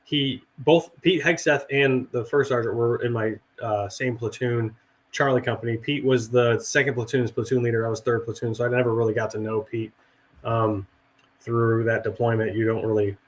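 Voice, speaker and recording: A middle-aged man with a hoarse, raspy voice from a cold, rambling and swearing casually in a relaxed chat. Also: mid-range voice, normal speed, a little hiss or hum. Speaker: veteran guest and actor.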